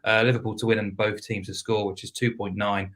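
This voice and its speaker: A man talking through football bets on a casual podcast, a little hoarse and hesitant, with plenty of fillers. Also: fairly low pitch. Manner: measured, hesitant with fillers, flat delivery. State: losing his voice. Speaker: football betting pundit.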